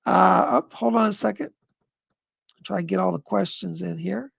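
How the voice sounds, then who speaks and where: A man hosting a live stream of psychic readings, answering viewer questions in an effusive, chatty way and pausing to listen to spirits. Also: high-pitched, gravelly, a bit bright, slightly rough, dry recording, highs cut off. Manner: pauses to listen to spirits mid-sentence.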